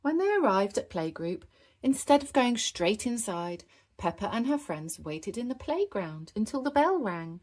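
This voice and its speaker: A woman narrating a children's storybook in an animated, scripted style with expressive emphasis. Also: mid-range voice, moderate pace.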